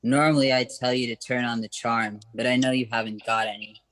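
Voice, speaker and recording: A man's voice: mid-range voice, flat delivery, brisk, fairly bright tone, a little hiss or hum, natural voice, rough recording. A man playing a wisecracking, streetwise buddy in a radio comedy play, teasing and slangy.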